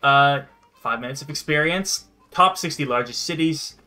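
A man's voice: fairly high pitch, moderate pace, pushed and bright, soft background music. A man in his twenties hosting a gaming video, chatting casually and coaching a player. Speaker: host of a gaming series. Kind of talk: casual let's-play chat, coaching a player. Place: small home room indoors.

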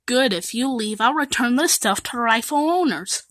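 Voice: mid-range voice, animated, normal speed, fairly bright tone, no room sound, clean studio sound, lightly acted. A performer voicing an anxious, stubborn male cartoon turtle in an amateur fan dub, emphatic and indignant. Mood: stubborn, firm.

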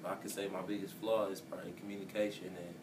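Very deep, flat-voiced young man, rambling. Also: fast. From Milwaukee, Wisconsin. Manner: rambling, heavy "you know" and "like" fillers. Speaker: student. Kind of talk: casual interview answers. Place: office computer room, indoors. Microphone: distant camera mic. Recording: distant mic with steady hiss.

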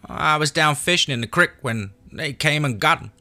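A man's voice: a little high, moderate pace, sharp tone, pitched above the performer's own voice. A man voicing a scrappy, hungry young boy with a drawl and defiant pride. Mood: earnest. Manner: folksy backwoods drawl, pleading to defiant.

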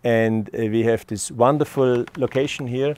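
A middle-aged man with an Austrian accent, a wine estate owner presenting a tasting outdoors, speaking in a measured way with many pauses. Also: mid-range voice, fairly even intonation. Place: outdoors on a hilltop above a lake.

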